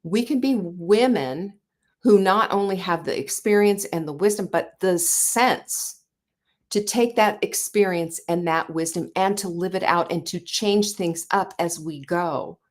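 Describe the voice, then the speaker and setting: A woman in her sixties with a somewhat low voice gives an earnest, conversational talk as a show host. She circles her points with fillers and self-corrections, speaking straight to her listeners. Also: a little slow. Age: 60s. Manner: earnest, conversational, many "you know" fillers and restarts. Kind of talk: motivational talk.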